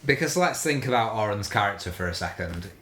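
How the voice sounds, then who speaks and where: A man's voice: fairly low pitch, lively intonation, brisk, fairly bright tone. A man co-hosting a casual panel discussion, talking in a critical, incredulous manner. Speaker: podcast co-host. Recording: roomy echo.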